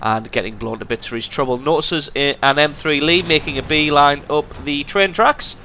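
A man with a cold hosting a gaming channel, commenting on a tank game replay in an amused, lively way. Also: fairly high pitch, moderate pace, a little hiss or hum. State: has a cold.

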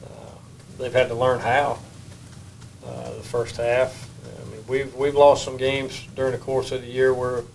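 An older man, a baseball head coach, answering questions at a postgame press conference in a plain, measured way at a table microphone. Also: medium pitch, completely flat delivery, vocal fry, a little mellow, a little hiss or hum, poor audio. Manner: measured, plain-spoken, many restarts. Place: press room. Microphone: table mic.

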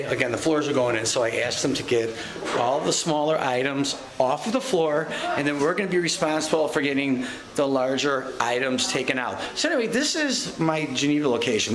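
A man in his fifties, a salon owner, chatting upbeat to a handheld camera as he walks through his salon. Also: medium pitch. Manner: upbeat, chatty, talks to camera while walking. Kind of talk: casual vlog walkthrough. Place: salon interior with hallways. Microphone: handheld camera at arm's length. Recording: echoey room, loud background noise.

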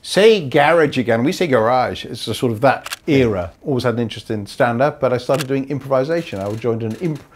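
A middle-aged man, a stand-up comedian, tells anecdotes in an animated, playful way. He keeps breaking into shrieks and impressions. He is recorded in a small indoor photo studio from a camera some way off. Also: mid-range voice, a little fast, few pauses, a little hiss or hum. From Newcastle, northeast England. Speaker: comedian guest. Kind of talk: animated comic storytelling.

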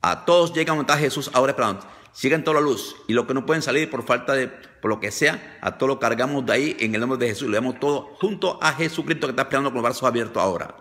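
Bright-voiced older man praying fervently. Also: mid-range voice, fast. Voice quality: repetitive, commanding incantation. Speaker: deliverance preacher. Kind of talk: fervent prayer of deliverance. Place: indoors, on a video call. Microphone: phone video call.

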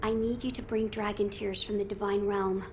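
A woman voicing a gentle, solemn young boy lord in a video game, speaking in a measured, formal, earnest way. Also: mid-range voice, level tone, noisy background, lightly acted. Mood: solemn, resolute. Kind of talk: scripted video game dialogue.

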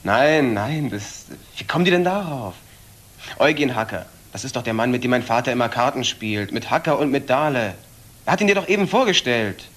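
An actor playing an excitable young man, a troubled gambler's son, emotional and insistent in a crime radio play. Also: medium pitch, a little fast, natural voice. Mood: disbelieving. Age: young adult. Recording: noticeable background noise, old broadcast audio.

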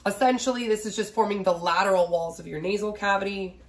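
A woman's voice: medium pitch, steady tone, fast. A woman in her thirties giving a casual recorded biology lecture from a small home office, a little nasal from a cold, often asking "right?". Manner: chatty, asks "right?" often, fillers. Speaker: biology instructor.

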